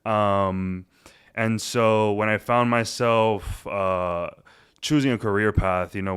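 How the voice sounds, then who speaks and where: A man in his twenties, born in Cuba, talking casually as an interview guest with a relaxed, rambling manner and many fillers. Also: fairly low pitch, clear, resonant tone. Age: 20s. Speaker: guest, realtor.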